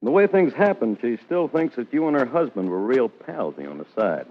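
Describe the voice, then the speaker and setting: A man's voice: mid-range voice, big pitch swings, moderate pace. An actor playing a dogged American police captain in a 1940s radio drama, blunt and clipped as he interrogates. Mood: insistent, dry. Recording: tinny, narrow-band vintage radio recording.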